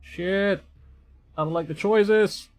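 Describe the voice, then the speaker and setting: A man's voice: high-pitched, slow pace. A man live streaming a game playthrough, reading text aloud and adding hesitant, stammering asides. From Philippines. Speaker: VTuber streamer. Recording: music behind the voice. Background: game music.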